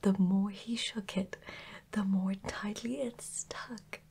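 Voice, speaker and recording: A woman reading a children's bedtime story in a hushed, gentle, unhurried ASMR whisper. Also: medium pitch, fairly even intonation, vocal fry, a little hiss or hum.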